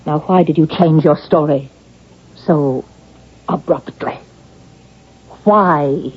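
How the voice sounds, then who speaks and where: A woman acting in a vintage radio drama as an insistent psychiatrist, measured and deliberate as she questions a suspect. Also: deep voice, husky, full character voice. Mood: confronting. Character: probing, insistent psychiatrist questioning an accused killer. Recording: dull old radio drama recording.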